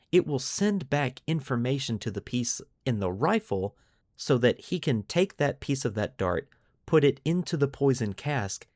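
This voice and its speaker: A man's voice: medium pitch, darkish tone, no room sound, studio quality. A man hosting a podcast, explaining lore to friends in an informal, enthusiastic way. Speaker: podcast host.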